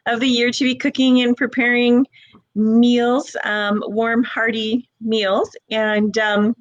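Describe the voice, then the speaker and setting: A chatty woman from Alberta, Canada, talks over a live video call in a somewhat high voice. She stumbles and repeats words as she gets going. Her sound is close and dry. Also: no room sound. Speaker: recipe creator and co-host. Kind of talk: live-streamed casual chat.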